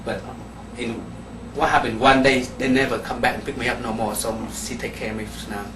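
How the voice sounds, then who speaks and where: A man in his thirties from Vietnam with a Vietnamese accent, answering interview questions in plain, halting English. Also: medium pitch, level tone. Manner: plain, halting, non-standard grammar. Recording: echoey room, distant mic, background noise.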